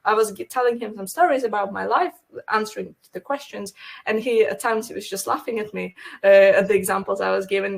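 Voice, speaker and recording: Woman, Ukrainian accent, sales apprentice, gravelly voice, halting and full of restarts, webinar chat. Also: mid-range voice. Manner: halting, many fillers and restarts. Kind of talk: casual webinar talk.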